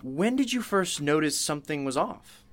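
A woman's voice: low-pitched, lively intonation, normal speed. An actress playing an attentive, easily impressed woman interviewer in a conversational radio play. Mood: curious.

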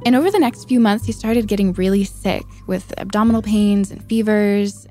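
A woman's voice: mid-range voice, normal speed, mellow, faint music behind. A woman reporter narrating a story in a conversational way.

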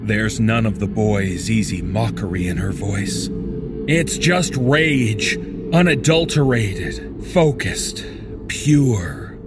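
A man reading a scripted second-person horror story in a measured, deliberate manner. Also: lowish voice, expressive, music in the background.

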